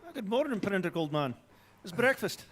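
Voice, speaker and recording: Man as hearty gentleman assistant, fairly high voice, brisk chummy chatter, live stage drama. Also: very animated, full character voice. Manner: hearty, clipped old-chap chattiness. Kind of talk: live audio drama dialogue.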